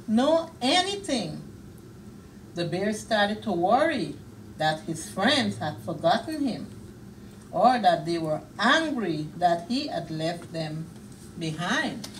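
A middle-aged woman reading a picture book aloud to young children in a deliberate, expressive way, pausing to comment on the pictures. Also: mid-range voice, distant mic, slight background noise, poor audio. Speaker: children's storyteller. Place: small indoor children's reading room.

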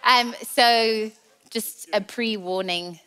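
A woman's voice: a little high, takes it slowly, pushed and bright. A woman in her thirties with a South African accent, preaching a sermon in a chatty, playful, teasing way on a large church stage. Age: 30s.

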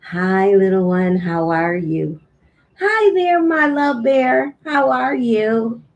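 A middle-aged woman giving a deliberate demonstration for parents of babies, sometimes in singsong baby talk, recorded at home on a webcam microphone. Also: fairly high pitch, very animated. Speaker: early childhood teacher, host of infant read-along. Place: room at home.

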